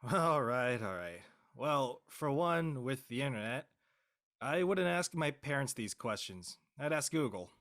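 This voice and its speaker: Guy acting as dry laid back dad, medium pitch, deadpan, teasing his kid. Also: a little slow. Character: teasing, laid-back middle-aged dad, dryly reminiscing.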